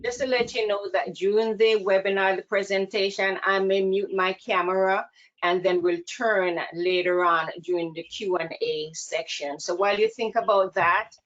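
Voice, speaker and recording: A woman with a Jamaican accent giving an earnest, measured webinar presentation as a counselor, heard over a video call. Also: medium pitch, steady tone. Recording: dull lo-fi video call audio.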